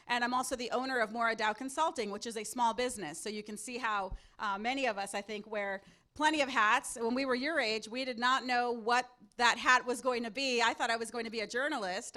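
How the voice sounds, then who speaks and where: A woman in her forties, from Mexico, moderating a panel and addressing a student audience into a microphone in a loud, upbeat, confident voice. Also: a little high. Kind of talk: public speaking to a student audience. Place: event hall at a panel table. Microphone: handheld or table microphone.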